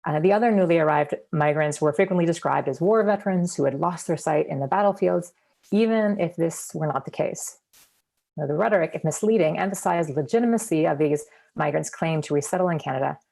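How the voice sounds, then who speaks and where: Brief: woman scholar presenting a seminar paper, low voice with some fry, hesitant fillers. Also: warm tone. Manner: measured, with frequent ums and uhs. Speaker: academic presenter. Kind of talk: reading a conference paper.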